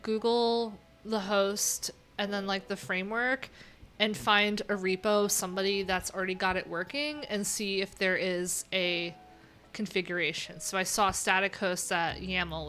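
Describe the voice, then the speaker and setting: A woman thinking aloud in a casual, unscripted tech livestream, informal and halting, often trailing off mid-thought. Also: mid-range voice, sharp tone. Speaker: livestream host, web developer.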